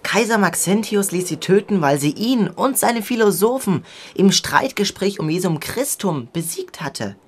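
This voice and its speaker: A performer playing a cautious thirteen-year-old medieval boy, an earnest know-it-all big brother with a husky voice, in a German radio drama. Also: mid-range voice, expressive, normal speed. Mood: lecturing.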